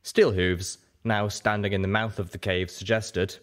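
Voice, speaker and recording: A man's voice: fairly low pitch, level tone, measured pace, slight background noise. A man reading a first-person story aloud as scripted narration. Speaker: audiobook reader, show host.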